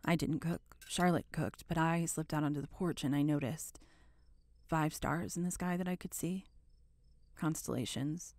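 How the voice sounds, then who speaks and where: An actress playing an uneasy, brooding woman who haltingly records her account in a horror drama, with a husky, gravelly voice. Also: sits low, a little mellow, no room sound. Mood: hushed, brooding. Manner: halting, brooding, breaking into whispers.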